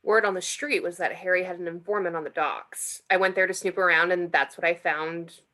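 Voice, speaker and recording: A woman's voice: fairly low pitch, fairly even intonation, a little fast, sharp tone. A woman playing an eager, snooping young woman in an amateur script reading. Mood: earnest.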